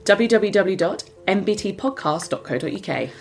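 A woman's voice: mid-range voice, lively intonation, normal speed, creaky, faint music behind. A woman co-hosting a podcast, giving the intro in an upbeat way. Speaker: podcast co-host and actress.

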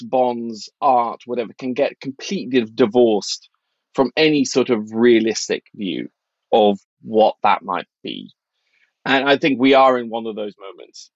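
A man's voice: medium pitch, no room sound, muffled recording. A middle-aged man from Norway talking casually and analytically as an interview guest, blunt and opinionated in an informal way. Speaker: financial journalist and author, interview guest.

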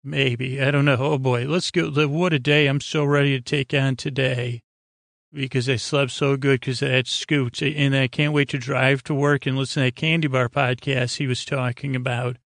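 A man with a creaky voice hosting a bedtime podcast, meandering through whimsical tangents in halting, repetitive sentences that trail off mid-thought. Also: medium pitch, steady tone, fairly bright tone, no room sound, studio quality. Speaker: podcast host. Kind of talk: rambling bedtime podcast monologue.

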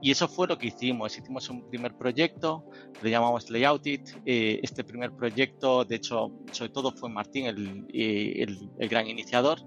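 A man's voice: mid-range voice, moderate pace, fairly bright tone, muffled recording, soft background music. A man with a Spanish accent from Spain, a company founder telling his story in a rambling, talkative way with lots of fillers. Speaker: guest, software company founder. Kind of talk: casual interview talk.

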